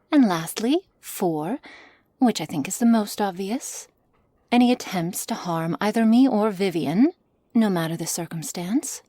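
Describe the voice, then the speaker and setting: A woman playing a cold, menacing witch experimenter in an ASMR roleplay, speaking in a breathy, measured, condescending way. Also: on the low side, warm tone, no room sound. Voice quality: breathy, often whispery. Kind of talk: ASMR roleplay, scripted acting to listener.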